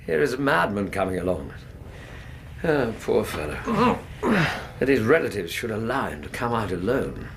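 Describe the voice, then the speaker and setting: Radio play support: solicitous English Victorian physician, animated intonation, noticeable background noise. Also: male voice, lowish voice, a little slow, creaky, exaggerated voice. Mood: concerned, surprised. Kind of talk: radio drama acting.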